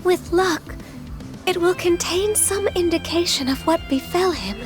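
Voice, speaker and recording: Very high, slow, solemn young female sage. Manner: measured, archaic formal phrasing. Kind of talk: English video game voice acting. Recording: game music behind the voice.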